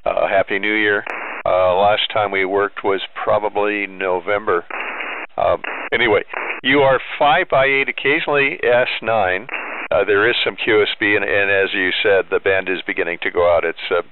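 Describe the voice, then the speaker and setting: A man from the United States working as an amateur radio operator, chatting casually and matter-of-factly with call signs and pauses. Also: fairly low pitch, fairly even intonation. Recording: narrow-band, clear background radio noise.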